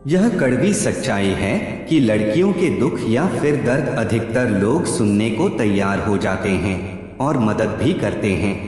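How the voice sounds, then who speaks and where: Male narrator, scripted and deliberate, animated intonation, drenched in echo. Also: lowish voice. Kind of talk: scripted narration of psychology facts. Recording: heavy echo, long reverb, background music. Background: music.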